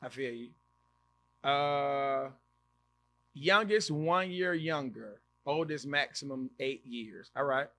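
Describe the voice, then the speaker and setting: A man in his thirties hosting a podcast, chatting casually and reacting loudly as he reads out viewer comments. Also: mid-range voice, slow pace. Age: 30s. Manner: animated, exclaims and reacts loudly, repeats himself. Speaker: podcast host. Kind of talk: casual live-stream chat reading comments.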